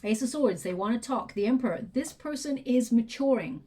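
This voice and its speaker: Husky-voiced woman reading tarot. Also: fairly high pitch, expressive, fairly quick. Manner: soft, reflective, thinking aloud. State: losing her voice, clearing throat. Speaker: tarot reader.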